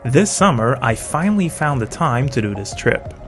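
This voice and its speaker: A middle-aged man from Sweden narrating his motorcycle travel vlog in a calm, measured voice-over. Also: mid-range voice. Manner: calm, informative, measured delivery. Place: voice-over, not recorded on the road. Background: faint music.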